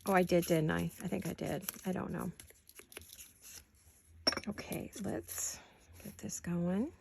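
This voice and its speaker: A woman with a sore throat chatting casually to viewers while she crafts at home, thinking out loud as she works. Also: on the low side, steady tone, slow, creaky, a little hiss or hum. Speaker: craft vlogger. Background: scissors and crafting clicks.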